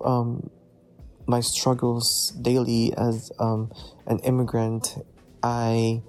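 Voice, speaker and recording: A man with a Filipino accent speaks slowly and evenly, his voice mellow and his pitch barely moving. He hesitates often and fills the gaps with "um" as he shares a spoken response. Faint music plays underneath. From Iloilo City, Philippines. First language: Ilonggo. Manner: hesitant, many pauses and "um" fillers. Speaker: discussion participant. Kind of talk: casual spoken response message.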